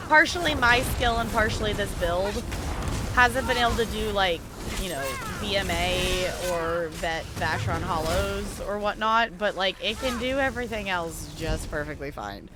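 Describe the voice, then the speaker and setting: A woman's voice: mid-range voice, noisy background. A woman chatting casually on a game livestream, rambling and hesitant as she thinks aloud. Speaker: game streamer.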